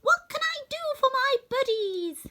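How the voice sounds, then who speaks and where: A woman voicing a tiny, helpful and bossy queen of mice in a thin, squeaky little voice for a storybook. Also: animated, a little slow, sharp tone, full character voice. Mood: helpful, brisk.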